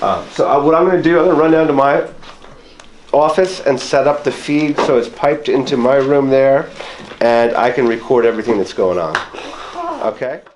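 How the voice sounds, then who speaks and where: A man's voice: mid-range voice, normal speed, a little mellow, a little hiss or hum. A man casually explaining things conversationally as a radio station tour guide. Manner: conversational, illustrative. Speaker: radio station staffer guiding a student tour.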